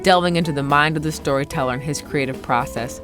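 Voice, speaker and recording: A woman's voice: low voice, fast, music in the background. A woman hosting a podcast, moving between scripted introduction and casual interview questions. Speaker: podcast host interviewing an author.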